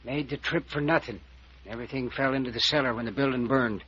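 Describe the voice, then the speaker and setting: An actor playing a gruff, plain-spoken, secretive older small-town man in old-time radio drama, terse and folksy. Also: mid-range voice, fast, fairly bright tone. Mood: matter-of-fact. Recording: dull, band-limited old radio recording.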